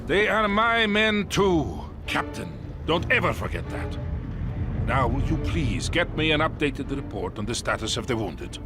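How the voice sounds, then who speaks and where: A man's voice: on the high side, sing-song, a little slow, music in the background. A male actor playing a stern, principled Soviet colonel in a video game, authoritative, clipped and formal. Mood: firm. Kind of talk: dramatic video game acting.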